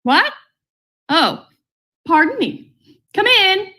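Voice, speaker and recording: A woman's voice: very high voice, sing-song, extremely slow, pushed and bright, heavily acted. A woman playing a patient, polite, long-suffering wife in an old-time radio comedy. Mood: exasperated.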